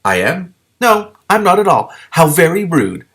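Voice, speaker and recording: A man's voice: fairly high pitch, sing-song, measured pace, a bit bright, pitched above the performer's own voice, exaggerated voice. A man voicing a fussy, easily offended male lizard in a storybook, speaking in fretful, dignified exclamations. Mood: huffy, dignified. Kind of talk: audiobook-style character reading.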